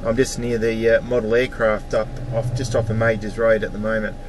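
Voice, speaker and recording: A man's voice: lowish voice, little pitch movement, a little fast. An Australian man working as a mobile amateur radio operator, trading casual greetings and callsigns. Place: vehicle, out on the road. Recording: faintly noisy mobile radio in vehicle.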